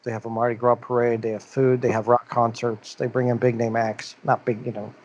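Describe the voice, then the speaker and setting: A man's voice: mid-range voice, little pitch movement, a little fast, lo-fi sound, a little hiss or hum. A man co-hosting a podcast, talking in a loose, rambling way. He reads the news out and circles back through uh's and restarts. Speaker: podcast co-host. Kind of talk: casual podcast chat.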